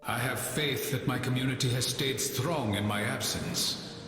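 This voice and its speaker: A solemn visionary leader from a video game, serene and messianic. He speaks slowly and philosophically, with grave weight on his words. The voice is processed into a vast, echoing reverb, with music underneath. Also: mid-range voice, sharp tone. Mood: serene, resolute. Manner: measured, grave, philosophical delivery. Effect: heavy reverberant voice processing. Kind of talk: video game character voice lines. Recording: game audio in long cavernous reverb.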